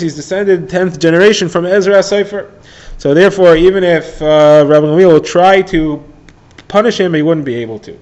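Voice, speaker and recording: Man teaching Talmud, higher voice. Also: lo-fi sound. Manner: plain storytelling explanation with Hebrew and Aramaic terms. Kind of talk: daily Talmud lesson for listeners.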